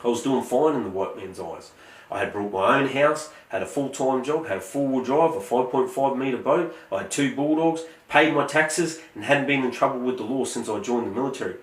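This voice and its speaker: A man, giving a prepared, earnest speech in a measured, emphatic way. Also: medium pitch, roomy sound, far from the mic, poor audio. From Australia. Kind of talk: prepared motivational speech with scripture quotes.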